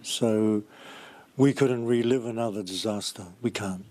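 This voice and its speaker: A man's voice: fairly low pitch, unhurried, darkish tone. A man speaking unscripted as a local resident in a news interview.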